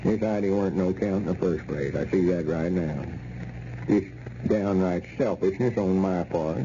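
Old Southern country schemer, proud and exasperated, very sharp edge, dull noisy vintage radio sound. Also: male voice, low-pitched, moderate pace. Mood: dejected, ashamed. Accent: rural Southern American. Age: older. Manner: folksy, exasperated drawl. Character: proud, scheming, downhearted old backwoods man. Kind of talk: 1930s-40s radio comedy acting.

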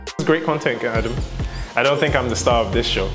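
A young man talks through a glute workout in a home gym, joking around and cueing each move as he goes. His voice sits at a middle pitch for a man, and music plays underneath. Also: brisk. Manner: joking, upbeat, coaching as he exercises. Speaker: fitness vlog host. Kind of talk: casual workout vlog chat.

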